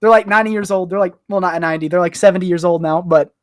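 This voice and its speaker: A young adult man chatting casually as a podcast guest, with a joking, animated manner. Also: sits high, very fast, no echo, studio quality. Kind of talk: casual chat.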